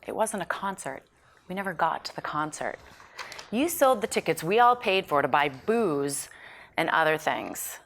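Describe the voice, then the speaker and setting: A woman playing a guarded television psychologist in a drama table read, measured and quick to correct. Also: low-pitched, animated, a bit bright, a little hiss or hum. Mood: wry, reminiscing. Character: guarded woman television psychologist reminiscing with old college friends.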